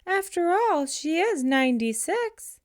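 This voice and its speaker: A woman plays a storybook mum, sympathetic and pitying. She speaks in a raised, high voice. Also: big pitch swings, a little slow, heavily acted. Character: small boy's mother, pitying, matter-of-fact.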